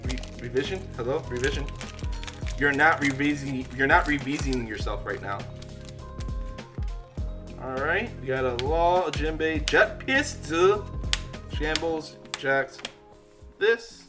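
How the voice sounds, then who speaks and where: A man's voice: on the high side, slow, long pauses, over music. A young man who has a stutter and leans on um and uh, chatting casually while he opens trading card packs. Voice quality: has a stutter, uses um and uh as fillers. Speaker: card-opening YouTuber.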